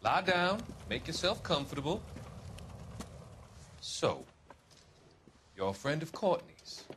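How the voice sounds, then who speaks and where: A smooth calming shrink in a video game, voice rises and dips a lot, persuasive, noisy background. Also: male voice, on the high side, exaggerated voice. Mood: calm, probing. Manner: soothing, deliberate, persuasive. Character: smooth, soothing male psychiatrist guiding a patient. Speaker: doctor. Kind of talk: scripted dramatic video game acting.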